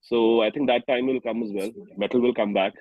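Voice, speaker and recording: A man's voice: mid-range voice, fairly even intonation, a little fast, no echo, phone-quality sound. A young man with an Indian accent, chatting earnestly and reflectively as an interview guest, often saying "you know". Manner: earnest, reflective, peppered with 'you know'. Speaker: interview guest, singer-songwriter. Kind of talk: casual interview chat.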